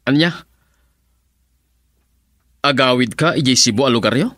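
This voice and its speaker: Helpful city businessman, Filipino accent, mid-pitched, chatty, close dry sound, radio drama. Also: a little slow, fairly bright tone, no echo. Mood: cheerful, chatty. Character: friendly, helpful adult male city businessman.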